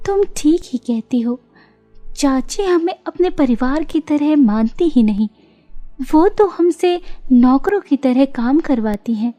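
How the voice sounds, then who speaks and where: A woman voicing a humble, caring young elder sister in a storybook cartoon, soft, polite and pleading. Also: high voice, expressive, slow, soft background music. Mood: sympathetic.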